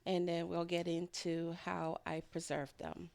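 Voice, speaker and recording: A woman with a fairly low voice and a good deal of gravelly fry in it. She talks through kitchen work as a home vlogger, in a casual and rambling voice-over. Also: completely flat delivery, measured pace, a little mellow. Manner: rambling, chuckles often. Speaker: home food and garden vlogger.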